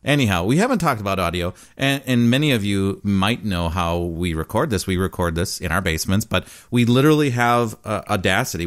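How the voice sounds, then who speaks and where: A man co-hosting a podcast, chatting casually with a playful, joking manner. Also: fairly low pitch. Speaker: podcast co-host. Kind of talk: casual chat. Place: home basement.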